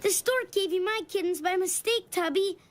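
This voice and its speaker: Squeaky, excitable cartoon little girl. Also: normal speed, music in the background. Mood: anxious. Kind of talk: children's cartoon voice acting.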